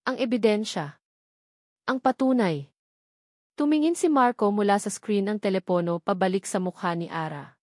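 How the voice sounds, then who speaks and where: A woman's voice: mid-range voice, a little mellow, dry recording, studio quality. A woman with a Filipino accent reading a dramatic scripted story as its narrator.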